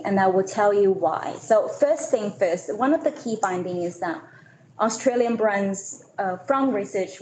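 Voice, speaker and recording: A woman's voice: fairly low pitch, a little mellow. A woman from China and Malaysia giving an informal business presentation to a meeting. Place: meeting room, hybrid event. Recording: echoey room, faint noise.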